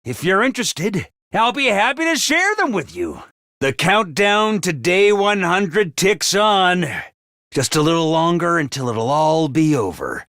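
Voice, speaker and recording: A man's voice: sits high, very animated, a little slow, a bit bright, no echo, clean studio sound, heavily acted. An actor voicing a pompous, cheery male academy commander in a video game, giving rousing speeches to his troops. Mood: upbeat. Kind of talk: game voice lines.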